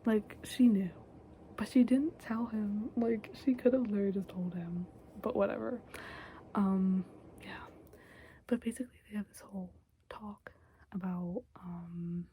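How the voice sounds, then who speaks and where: A young woman giving a casual, chatty recap of a TV episode in a soft whisper, close to the mic, with lots of fillers. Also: mid-range voice, a little slow, a little hiss or hum.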